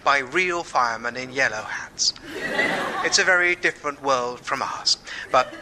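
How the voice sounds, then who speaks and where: An actor playing a formal, measured museum audio guide in deadpan radio comedy. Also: male voice, mid-range voice, noisy background, lightly acted. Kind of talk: scripted audio-tour narration in radio comedy.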